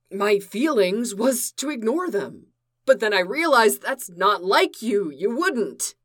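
A man's voice: a little high, bright, forceful tone. A woman reading the part of an anxious, lovesick grown man who pleads with a rising voice.

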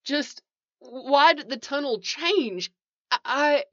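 A woman's voice: medium pitch, big pitch swings, moderate pace, pushed and bright. Woman as trapped male caver, anxious, strained, insistent, shaky, story narration, echoey and slightly muffled recording. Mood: bewildered.

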